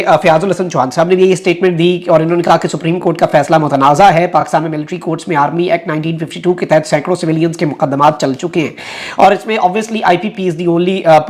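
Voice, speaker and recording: A man's voice: a little high, fairly quick. A man with a Pakistani accent presenting political news commentary to viewers, mixing English phrases into his talk.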